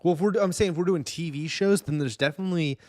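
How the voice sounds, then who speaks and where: A man's voice: on the high side, fast, no room sound, clean studio sound. A man in his thirties with a North American accent, chatting casually and enthusiastically as a podcast host. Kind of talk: casual chat.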